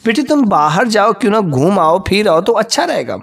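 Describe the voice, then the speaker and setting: Male narrator as a caring old mother, high-pitched, gently pleading, some distance from the mic. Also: very animated, normal speed, gravelly, breathy edge, exaggerated voice. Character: kind, caring, long-suffering old mother.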